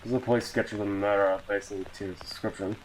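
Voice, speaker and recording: A man's voice: fairly low pitch, steady tone, fast talker, slight background noise, low-quality recording. A man doing a casual video reaction, reading captions aloud and muttering sweary asides. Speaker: YouTube reaction host.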